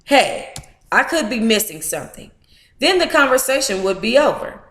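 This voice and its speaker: An American woman hosting a book club, reading aloud informally and breaking into animated, playful, candid asides. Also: a little high, moderate pace, roomy sound.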